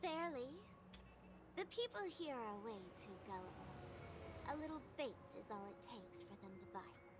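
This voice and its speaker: A high, taunting masked trickster. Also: female voice, very animated, moderate pace, noisy background. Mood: scornful. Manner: taunting and theatrical. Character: mocking, playful masked female trickster. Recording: game audio with music underneath.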